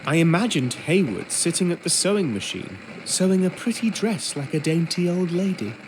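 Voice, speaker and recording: An actor playing a polite, earnest young ship's steward in a period video game, speaking in articulate, measured words. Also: male voice, a little high, lively intonation, noisy background, lightly acted. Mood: reflective, wry. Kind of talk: video game character acting and first-person narration.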